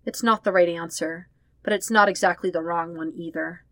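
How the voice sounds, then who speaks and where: A woman reading a story as a scripted narration, expressive and leaning on the emotional words. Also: lowish voice, normal speed, no echo. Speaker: fan fiction podfic reader.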